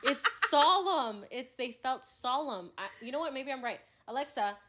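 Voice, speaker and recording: A woman co-hosting a podcast in easy, casual chat. She talks in an animated, teasing way, laughing often. Her voice sits a little high. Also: moderate pace. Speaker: podcast co-host.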